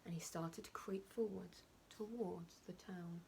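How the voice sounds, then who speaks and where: A woman reading a children's storybook aloud like a primary school teacher, with expressive, emphatic and dramatic phrasing. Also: lowish voice, unhurried, creaky, a little hiss or hum, low-quality recording.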